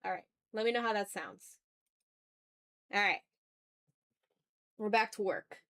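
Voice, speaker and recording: A woman's voice: mid-range voice, very animated, a little slow, fairly bright tone. Chatty woman hosting a casual art livestream. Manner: informal, chatty, breaks off to greet viewers. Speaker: host of an art livestream, video creator. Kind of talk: casual livestream chat with viewers while drawing. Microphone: streaming mic, newly placed a little far away.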